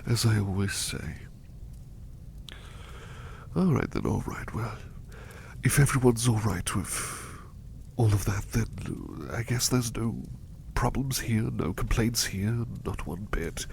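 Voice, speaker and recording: Casting: male actor as devoted, bashful butler for ASMR roleplay; low, expressive voice, hushed and halting, eager to please. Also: vocal fry, slight background noise, full character voice. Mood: earnest, apologetic. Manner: halting, repeats phrases, effusively polite. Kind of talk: soft-spoken ASMR roleplay.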